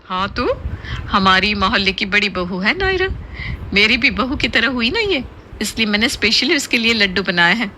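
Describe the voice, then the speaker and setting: A woman's voice: medium pitch, big pitch swings, normal speed, sharp tone, a little hiss or hum. A woman voicing a chatty, boastful middle-aged neighbour aunty in a cartoon, talkative and insistent. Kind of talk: Hindi cartoon moral-story voice acting.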